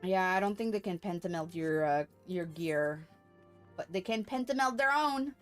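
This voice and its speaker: A woman's voice: on the low side, moderate pace, soft background music. A woman giving casual, playful live commentary as she streams a game, prone to giggling.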